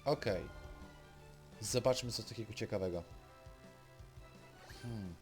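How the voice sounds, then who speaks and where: A man's voice: on the low side, a little slow. A man with a Polish accent giving casual, muttered commentary while he plays a video game. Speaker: streamer playing a game. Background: game music.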